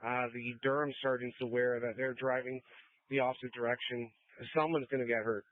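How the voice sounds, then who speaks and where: A man's voice: medium pitch, little pitch movement, a little fast, no room sound. A man speaking as a police officer making a call over police radio. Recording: muffled, dry police scanner audio.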